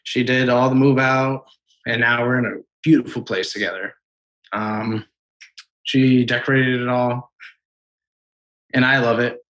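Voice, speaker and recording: A man's voice: mid-range voice, fairly even intonation, unhurried, long pauses, fairly bright tone, low-quality recording. A man in his late twenties calling in to a podcast, telling an easygoing story about his relationship and pushing back a little when challenged. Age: 20s. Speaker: caller. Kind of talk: casual conversation.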